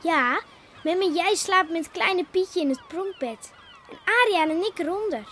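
An actress playing a young fishing-village wife who gently reassures her father, hesitant at first and then steady. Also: very high-pitched, measured pace, a little hiss or hum, full character voice. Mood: calm. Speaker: daughter breaking bad news.

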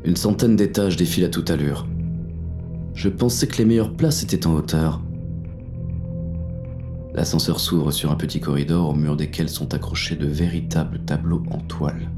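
An actor playing a wary police inspector on a burglary, narrating in a terse, tense inner monologue for an audio drama. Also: male voice, very deep voice, normal speed, music in the background. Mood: wary, focused.

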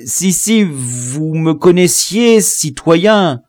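A man's voice: on the high side, animated, very slow, a little mellow, dry recording, studio quality, soft background music, pitched above the performer's own voice. A man voicing a servile, frightened colonial prisoner in an audiobook, stammering humbly and pleading. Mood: apologetic, pleading. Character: cowardly, servile, trembling male colonial prisoner.

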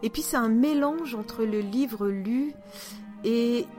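A woman hosting a podcast in casual chat, enthusiastic and halting, repeating words as she goes. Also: on the high side, music in the background. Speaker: podcast host.

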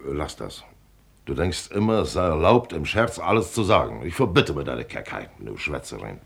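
Radio drama: gruff old tycoon, husky and low, declamatory, pompous. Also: male voice, big pitch swings, normal speed, full character voice. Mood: gruff, irritated. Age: older. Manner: declamatory, lecturing, blunt. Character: gruff, wealthy old millionaire father, pompous glass collector. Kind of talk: German radio play acting.

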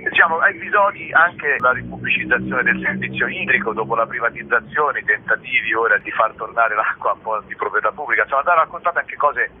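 A man speaking as an interview guest, explaining at length in a discursive way and pausing to search for words. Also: medium pitch. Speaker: theatre director, interview guest. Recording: narrow tinny phone line, clear background noise.

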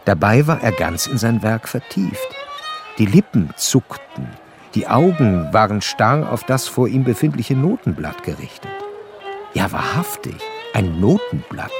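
An actor playing a curious, educated gentleman who narrates a literary radio play in a measured, deliberate way. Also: on the low side, big pitch swings, music in the background. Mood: observant, intrigued.

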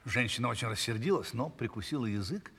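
A man telling a children's fairy tale as an expressive, theatrical narrator. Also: medium pitch, fast, husky, long reverb tail. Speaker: storyteller, narrator.

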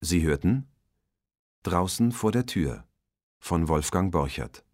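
Low, measured radio announcer, male. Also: lively intonation, a little mellow, no echo, studio quality. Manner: measured, formal. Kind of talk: formal 1940s radio credits announcement.

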